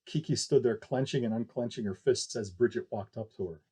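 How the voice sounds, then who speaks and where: A man's voice: medium pitch, a little fast, dark tone, slightly rough. A man reading scripted fiction aloud as a narrator.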